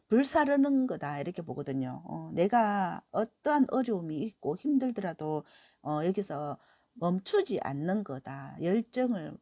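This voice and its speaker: Hoarse woman reading tarot. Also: mid-range voice, dry recording. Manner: gentle, encouraging, many "eo" fillers. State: hoarse from a cold. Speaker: tarot reader. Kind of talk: weekly tarot reading to viewers.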